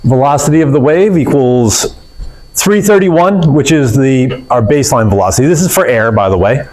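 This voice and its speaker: A man's voice: mid-range voice, lively intonation, normal speed, a little mellow, low-quality recording. A man teaching a physics class in a classroom, explaining in a casual way with fillers and self-corrections. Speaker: physics instructor. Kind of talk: classroom lecture.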